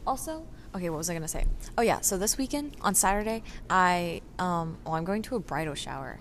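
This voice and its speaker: A woman's voice: lowish voice, animated, fairly quick, a little hiss or hum. A teenage girl from the Houston area co-hosting a casual podcast, teasing her friend and laughing often as she rambles. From Houston area, Texas. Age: teens. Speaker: podcast co-host.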